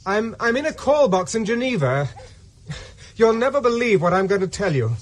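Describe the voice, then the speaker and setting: Young English fugitive, high and swooping voice, distraught, stammering, radio drama, faint noise. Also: male voice, very animated, normal speed. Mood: panicked, pleading. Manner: urgent, stammering, emotional. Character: distraught, hunted young Englishman, polite ex-officer.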